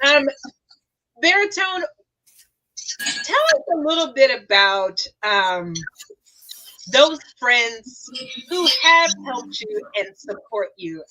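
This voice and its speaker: A woman hosting a conversation over a video call, measured, slowing down to stress key phrases. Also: fairly high pitch, sharp tone, low-quality recording. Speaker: host.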